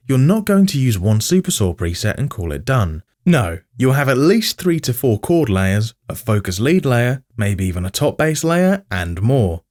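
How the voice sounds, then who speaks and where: A man's voice: medium pitch, darkish tone. A man giving scripted tutorial narration, explaining step by step in informal language and addressing the viewer directly. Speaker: music production tutorial host.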